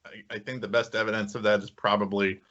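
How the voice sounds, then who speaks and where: A man's voice: on the low side, level tone, quick pace, bright, forceful tone. A man co-hosting a podcast in casual conversation. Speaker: podcast co-host. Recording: slightly dull, lo-fi audio.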